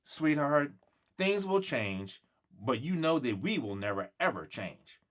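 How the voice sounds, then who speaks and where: A man voicing a gentle, reassuring mother in a children's storybook, patiently drawing out her explanations. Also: medium pitch, big pitch swings, unhurried.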